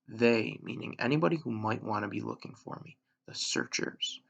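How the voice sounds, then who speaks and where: A man with a gravelly voice reading a children's novel aloud, measured, with dramatic pauses and emphasis. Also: lowish voice, fairly bright tone, dull audio. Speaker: elementary school reader, story narrator.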